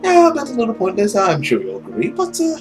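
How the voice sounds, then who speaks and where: A woman voicing a suave male villain who preys on people, with a theatrical, condescending manner and drawn-out words. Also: medium pitch, huge pitch swings, music in the background, pitched above the performer's own voice. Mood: flippant, sarcastic.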